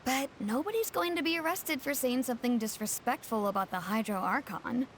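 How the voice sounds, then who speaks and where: Woman playing a high, spirited townswoman. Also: brisk, a little hiss or hum, close to an everyday voice. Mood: chiding. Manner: emphatic, chiding. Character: spirited, loyal townswoman, mildly scolding. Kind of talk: video game voice acting.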